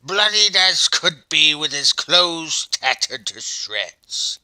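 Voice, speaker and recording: Folksy old farm yarn-spinner, very animated, slow, drawn-out, theatrical relish. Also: male voice, on the high side, clean tone, dry recording, exaggerated voice, low-quality recording. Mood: spooky, relishing. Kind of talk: audio drama acting.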